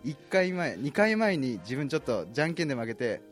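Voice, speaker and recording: A young man talks very quickly in an ordinary mid-range voice. He sounds like he is co-hosting a casual show among friends, with faint music underneath. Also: medium pitch, very animated. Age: young adult. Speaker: co-host of an amateur talk show.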